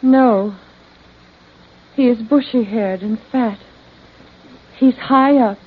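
An actress playing an entranced woman in a vintage crime radio drama, speaking in halting, trancelike short phrases. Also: on the high side, husky. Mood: dazed, trancelike. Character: entranced woman reliving deaths, dazed then frantic. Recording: dull lo-fi old radio recording.

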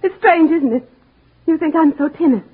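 An actress playing a mousy, downtrodden wife who calmly plans to murder her husband, in old-time radio drama style, speaking quietly and chillingly and breaking into sobs. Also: high voice, moderate pace, close to an everyday voice. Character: timid downtrodden wife turned cold poisoner. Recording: muffled lo-fi old radio recording.

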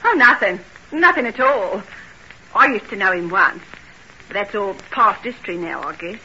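An actress playing a guarded, bitter young working-class housekeeper with a brusque, slangy in old radio drama style. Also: female voice, mid-range voice, expressive, moderate pace, pushed and bright. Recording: muffled noisy old radio recording.

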